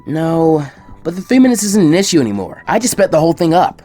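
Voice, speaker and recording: A man's voice: on the high side, sing-song, fast, mellow, soft background music, pitched above the performer's own voice. A man voicing a chipper, sheepish teenage boy ninja in a story reading.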